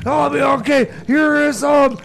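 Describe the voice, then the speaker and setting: Very high-pitched, stammering, anguished radio host. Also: male voice, steady tone, shouting, a crowd in the background, exaggerated voice. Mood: panicked. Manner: halting, stammering, whiny. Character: flustered, anguished male radio show host in comedy sketch. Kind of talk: improvised comedy radio theater, back-announcing songs.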